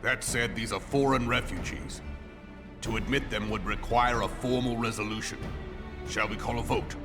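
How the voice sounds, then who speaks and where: An actor playing a stern, gruff council member in a fantasy game, speaking with formal, commanding authority. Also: male voice, lowish voice, fairly even intonation, normal speed, music in the background, lightly acted. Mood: measured. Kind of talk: fantasy game cutscene acting.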